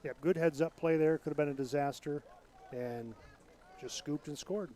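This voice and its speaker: A soft-spoken man giving color commentary on a high school football game in a relaxed, conversational way. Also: moderate pace.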